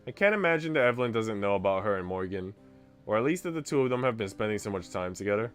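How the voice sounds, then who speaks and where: Casting: male game streamer reading story narration, lower voice with animated intonation, light music behind. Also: fast talker, smooth. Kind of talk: reading visual novel narration aloud with chat asides.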